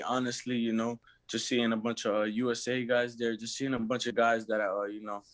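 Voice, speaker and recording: A young college basketball player from Massachusetts answering interview questions in a halting way, filling pauses with "you know" and "uh". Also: male voice, lowish voice, dry recording. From Lawrence, Massachusetts. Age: young adult.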